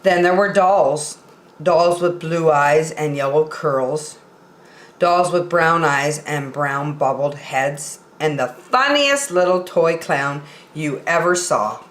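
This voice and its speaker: A woman reading aloud expressively as a storyteller for young children. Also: deep voice. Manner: expressive, deliberate enunciation. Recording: roomy echo.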